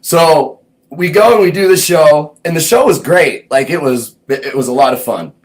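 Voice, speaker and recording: A man's voice: a little high, animated, normal speed. Laid-back man telling a story. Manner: laid-back, meandering, thinks aloud. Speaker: host recounting his music career. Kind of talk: casual personal storytelling.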